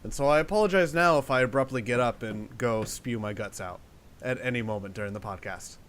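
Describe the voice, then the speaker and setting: A man's voice: mid-range voice, fairly quick, a bit bright. A man on a casual podcast, sounding nasal from a cold, chiming in with easygoing asides. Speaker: podcast co-host. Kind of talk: casual chat.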